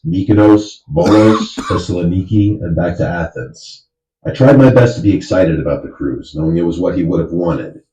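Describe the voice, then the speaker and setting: A man reading a horror story in measured, even scripted narration. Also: low voice. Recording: dull, roomy, distant audio.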